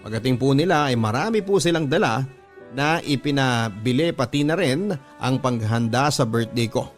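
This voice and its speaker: A man's voice: medium pitch, expressive, moderate pace. A man with a Filipino accent reading a scripted, first-person confessional story in a polite, earnest manner, as a radio drama narrator. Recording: music bed under the voice. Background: background music.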